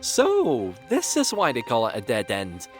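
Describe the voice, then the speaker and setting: A man's voice: medium pitch, huge pitch swings, measured pace, soft background music. A man playing a cocky, joking young winged pony in an audio drama, with a breezy, sardonic, theatrical delivery. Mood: teasing, playful. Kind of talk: audio drama character acting.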